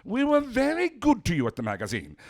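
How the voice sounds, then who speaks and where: A man's voice: sits high, huge pitch swings, brisk, exaggerated voice. An actor playing a stuffy, affronted male magazine publisher in a comedic radio play, prim and politely insulting.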